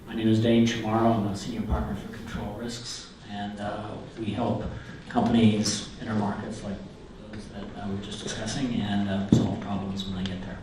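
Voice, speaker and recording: A man on a conference panel with a slightly low voice, making his points with rhetorical questions. He is heard from a distance in a big, echoing hall. There is clear noise behind him. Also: little pitch movement, moderate pace. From California. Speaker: panelist, risk consultant. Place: conference stage in a large hall. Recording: echoey hall, distant mic, noisy.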